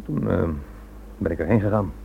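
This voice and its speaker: Low-voiced defensive police inspector, muffled radio. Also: male voice, moderate pace, vocal fry. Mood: evasive, defensive. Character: evasive, defensive male police inspector under questioning. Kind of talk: Dutch radio drama acting. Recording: dull, noisy old radio recording with hum.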